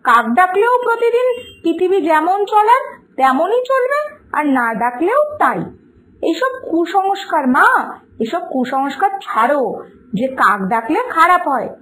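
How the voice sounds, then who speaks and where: A woman's voice: very high-pitched, sing-song, measured pace, a bit bright, exaggerated voice, rough recording. A woman voicing a sensible, outspoken young daughter in a cartoon moral tale, lecturing earnestly. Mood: earnest, exasperated. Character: sensible, outspoken young daughter arguing patiently against superstition. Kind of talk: Bengali moral-story cartoon dialogue. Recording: music behind the voice.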